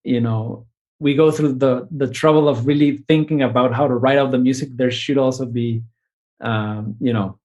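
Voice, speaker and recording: A man's voice: medium pitch, steady tone, normal speed, darkish tone. Man musing thoughtfully. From Mexico. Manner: thoughtful, many restarts and tag questions. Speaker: composer. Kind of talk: conversational interview.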